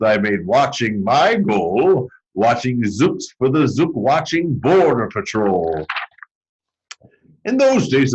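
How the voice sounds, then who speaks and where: A man acts out a very old storybook grandfather, grave and moralizing. The voice is husky and slow, with big indignant swings of pitch. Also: dull audio, heavily acted. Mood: nostalgic pride.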